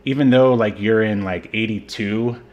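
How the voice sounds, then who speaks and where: A man's voice: fairly low pitch, moderate pace, lo-fi sound. Rambling man co-hosting a movie podcast. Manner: rambling, many fillers and restarts. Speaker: podcast co-host. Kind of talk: casual movie review chat.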